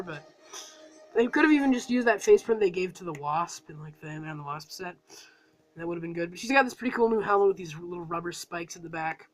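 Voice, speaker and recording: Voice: medium pitch, fairly bright tone, low-quality recording. A reviewer with a cold talking casually through a toy set review, rambling and full of fillers. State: has a cold.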